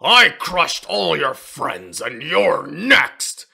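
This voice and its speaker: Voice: medium pitch, big pitch swings, very slow, gravelly, pushed and bright, breathy edge, pitched above the performer's own voice, full character voice. Someone voicing a bellowing menacing brute of a fighter. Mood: gloating rage. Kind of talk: fan manga audio drama acting.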